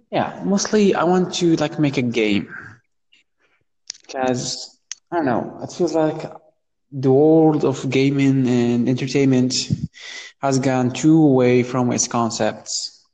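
A man's voice: mid-range voice, slow, warm tone. Hesitant young Moroccan man, reverberant sound. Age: 20s. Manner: hesitant, searching for words. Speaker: interview guest. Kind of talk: casual interview conversation.

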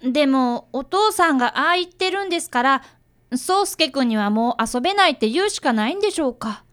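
Radio drama schoolboy played by a woman: polite, eager apprentice sleuth, high pitch, lively swings. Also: fairly quick. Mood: downcast. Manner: polite, earnest. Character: earnest polite fifth-grade boy, apprentice detective. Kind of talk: radio drama acting with first-person narration.

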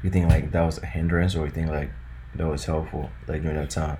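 A man with an extremely deep, dark voice asks questions on a podcast. His delivery is flat and hesitant, with lots of likes, and a faint hum runs behind him. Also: quick pace. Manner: asks questions with a trailing, hesitant, like-filled flow. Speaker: podcast co-host. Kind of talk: casual podcast chat.

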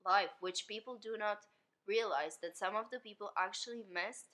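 Casting: young woman, English, clear bright tone, easygoing podcast guest who laughs a lot. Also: mid-range voice, moderate pace. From Syria. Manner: chatty, laughs often, non-native English phrasing. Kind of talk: casual chat.

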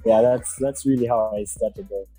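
Young ugandan guy on a podcast, soft hesitant talk, husky mellow voice, faint music. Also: lowish voice, fairly even intonation, normal speed, no room sound. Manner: hesitant, many fillers and restarts, soft-spoken. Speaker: guest entrepreneur. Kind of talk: podcast interview conversation.

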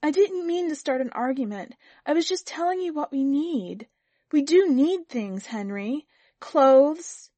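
Woman acting a pleading, put-upon wife, raised pitch. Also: big pitch swings, normal speed, warm tone, dry recording. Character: put-upon pregnant young wife, pleading, rising to anger. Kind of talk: dramatic audiobook character reading.

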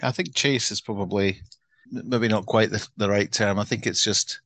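Low-voiced Glasgow Scottish man, dry sound. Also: fairly even intonation, fairly bright tone, dry recording. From Scotland. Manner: self-deprecating, wry, halting restarts. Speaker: podcast co-host, interviewee. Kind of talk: casual podcast chat.

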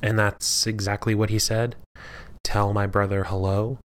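A man voicing an anguished, conflicted centuries-old demon with a quiet, deep, halting voice in an audio story. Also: flat delivery, natural voice. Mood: concerned, calm. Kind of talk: audio fiction dialogue.

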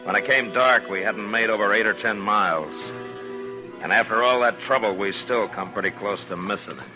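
A man's voice: lowish voice, moderate pace, music in the background, close to an everyday voice. Man acting a laconic frontier marshal, muffled vintage radio. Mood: grave narration. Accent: American. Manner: terse, authoritative. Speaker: actor in a radio western. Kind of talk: 1960s radio drama acting with first-person narration.

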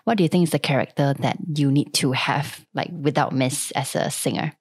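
A woman's voice: very deep voice, a little fast, breathy edge. A woman co-hosting an interview, asking long, chatty questions and backing the guest with frequent yeahs. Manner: chatty, with many affirming yeahs. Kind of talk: casual interview chat.

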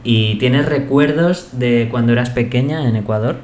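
A man's voice: medium pitch, moderate pace, a little mellow. Easygoing guy hosting a chat podcast, friendly, keeps the questions coming, roomy sound. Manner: friendly, asks questions and sums up. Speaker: podcast host. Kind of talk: casual podcast interview.

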